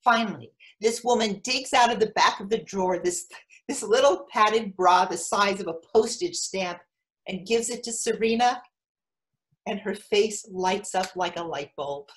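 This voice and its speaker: A woman's voice: mid-range voice, measured pace, close to an everyday voice. A woman playing an eager, protective, overinvested mother in a comic monologue reading. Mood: indignant. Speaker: actor in a readers theatre.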